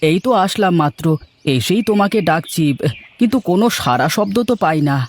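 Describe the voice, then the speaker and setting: A man voicing a gentle, earnest young village farmer in a cartoon folk tale. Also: high-pitched, animated, fairly quick. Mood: homely.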